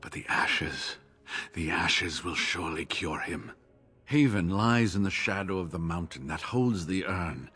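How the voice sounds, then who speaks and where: A husky, wry old captive scholar. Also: male voice, low voice, animated, pushed and bright, exaggerated voice. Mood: earnest. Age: older. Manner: sarcastic, weary, measured. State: injured, exhausted. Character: older male scholar, wounded captive, wry. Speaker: game character. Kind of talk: video game voice acting.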